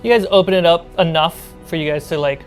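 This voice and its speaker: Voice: medium pitch, fast. A game master improvising tabletop narration in a conversational, slightly hesitant way. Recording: music playing behind the voice. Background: music.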